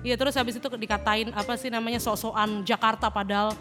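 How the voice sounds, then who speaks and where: A woman's voice: on the high side, fairly even intonation. A woman from Kendari who works as a radio announcer, chatting casually and often chiming in with short responses. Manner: chatty, quick to respond with backchannels. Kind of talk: casual podcast chat. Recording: music clearly behind.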